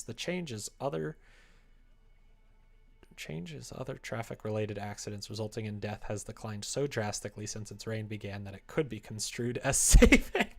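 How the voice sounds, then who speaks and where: A man playing a formal, deadpan news reporter in a parody bulletin of absurd carnage. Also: fairly low pitch.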